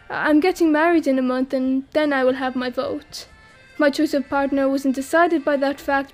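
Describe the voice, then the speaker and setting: A young woman from France speaks in a high, wry voice, mocking herself and laughing lightly as she goes. Faint music plays beneath her monologue in this audio drama. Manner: wry, self-mocking, laughs while talking. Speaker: exile interviewed about a disaster.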